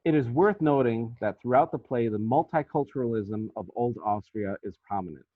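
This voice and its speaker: A thin, phone-like recording with very little room sound carries a man's voice. He reads a scripted academic paper in a formal, deliberate way, as a researcher presenting findings. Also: medium pitch, lively intonation, dry recording. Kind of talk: scripted academic lecture narration. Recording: thin, phone-like band-limited audio.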